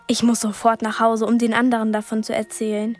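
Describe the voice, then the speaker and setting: A woman's voice: fairly high pitch, completely flat delivery, brisk, noisy background, natural voice. An actress playing an earnest, curious twelve-year-old girl in a radio play, a little hesitant in manner. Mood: excited.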